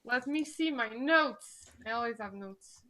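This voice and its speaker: A woman's voice: a little high, animated, a little slow, sharp tone. A woman tabletop player bantering and joking with the group. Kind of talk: casual banter on a live stream.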